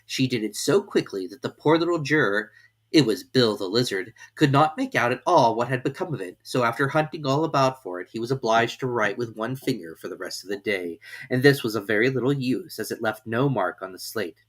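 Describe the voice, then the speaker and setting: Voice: mid-range voice, animated, brisk. A storyteller reading scripted children's fiction as an audiobook narrator.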